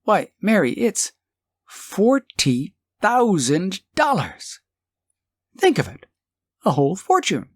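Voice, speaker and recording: A man doing poor old husband, excitable, emphatic exclaiming, lively pitch, dry close sound, storybook reading. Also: slow, pauses often, no room sound, exaggerated voice. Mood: amazed.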